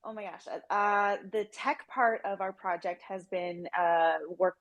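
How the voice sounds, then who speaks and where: Female interview guest, mid-pitched, informal and enthusiastic, presenting a project in casual chat. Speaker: podcast guest, project representative.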